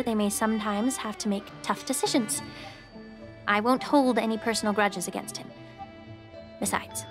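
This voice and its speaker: A woman voicing a composed, soft-spoken young woman alchemist in a game. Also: a little high, lightly acted. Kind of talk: game voice acting. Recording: game audio with clear background music.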